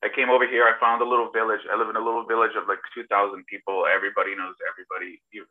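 Over a thin, phone-like internet call, a middle-aged man speaks in a slightly low voice. He is a podcast guest telling casual anecdotes about himself. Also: level tone, very fast. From born in Serbia, grew up partly in Toronto, Canada. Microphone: video call.

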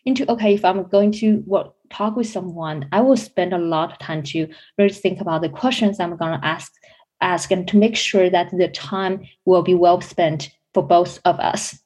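A woman's voice: fairly low pitch, fairly even intonation, normal speed, a little mellow, husky. A young woman from China, a business school student answering interview questions in a chatty way with many fillers and restarts. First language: Chinese.

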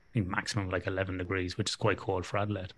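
A man's voice: sits low, flat delivery, racing. A man with an Irish accent hosting a podcast in a casual, joking, teasing interview style. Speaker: podcast host.

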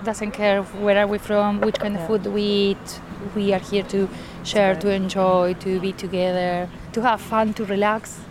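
Woman speaking flatly outdoors, traffic behind. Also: mid-range voice, unhurried, hardly any pauses. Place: outdoors in a garden.

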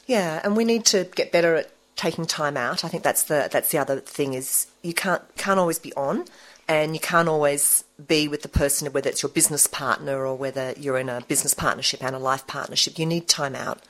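A woman in her forties, a guest entrepreneur speaking earnestly and reflectively with halting restarts. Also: low-pitched, a bit bright. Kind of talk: interview conversation.

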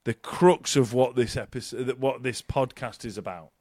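A man's voice: medium pitch, normal speed, a little mellow, no echo. A man co-hosting a casual podcast, swearing, joking and rambling through his announcements into a close mic. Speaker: podcast co-host. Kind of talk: casual chat.

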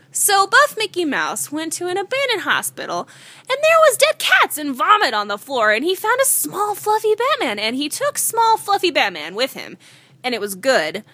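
A woman's voice: sits high, bright, forceful tone, no echo. A woman co-hosting a comedy podcast, speaking in a slangy, mock-serious way, in casual banter and comic dramatic reading. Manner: giggly, easily cracks up. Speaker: podcast co-host.

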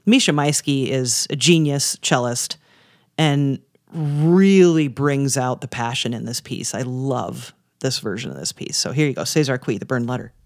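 A man's voice: medium pitch, normal speed. An American man co-hosting a casual chat about classical music, talking in an enthusiastic, joking way. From United States. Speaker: podcast co-host.

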